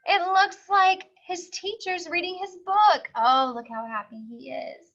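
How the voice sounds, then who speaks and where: A woman reading a storybook aloud to young children, expressive and singsong, pausing to explain and ask questions. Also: extremely high pitch, highs cut off.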